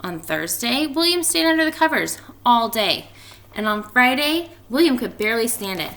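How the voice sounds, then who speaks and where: A woman speaking as a teacher doing a storybook read-aloud for children, expressive and emphatic in her delivery. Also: fairly high pitch, moderate pace, slight background noise. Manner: animated, expressive delivery with emphatic stretched words.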